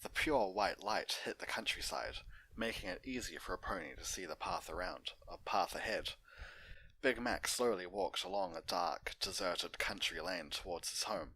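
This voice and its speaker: A man's voice: sits low, gravelly, pushed and bright, breathy edge, low-quality recording. A man narrating and hosting an unedited fan fiction story reading.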